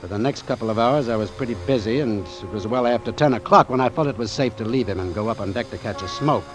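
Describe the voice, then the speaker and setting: A man's voice: medium pitch, fast, faint music behind, lightly acted, rough recording. Man playing a firm, dry-witted doctor. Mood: measured, wry. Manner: clipped, firm, matter-of-fact. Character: brisk, authoritative, dry-witted male ship's doctor, narrating. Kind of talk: old-time radio drama narration and acting.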